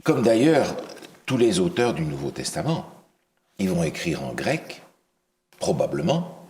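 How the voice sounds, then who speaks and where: A man leads a Bible lesson with a very low voice that still rises and falls a great deal. He teaches by questioning his listeners and halts often on fillers and restarts. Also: very animated, a little slow, darkish tone, a little hiss or hum. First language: not English. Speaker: preacher teaching a Bible study.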